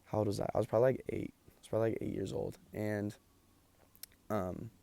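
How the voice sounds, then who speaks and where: A young man talks casually and loosely, tired and going hoarse from long recording sessions. His voice sits low with a gravelly fry, and he fills pauses with ums and likes. The sound is close and dry. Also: level tone, mellow, no room sound. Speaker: vlogger. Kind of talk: casual chat.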